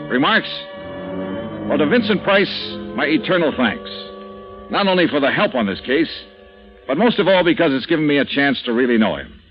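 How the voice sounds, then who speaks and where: An actor playing a brisk, wisecracking insurance investigator in a 1950s radio drama. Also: male voice, a little high, natural voice. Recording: muffled old radio recording.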